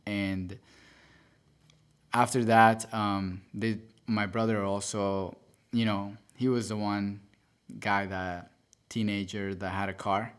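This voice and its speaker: A young man from El Salvador speaks as a podcast guest in a lowish voice. He tells his story earnestly and casually, and he stops often to restart and correct himself. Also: level tone, takes it slowly, smooth. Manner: earnest, with many fillers and self-corrections.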